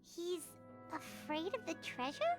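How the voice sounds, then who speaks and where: A woman voicing a tiny, excitable, childlike fairy companion in a video game, wide-eyed and exclamatory. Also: extremely high pitch, slow, music in the background, exaggerated voice. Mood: curious, amazed.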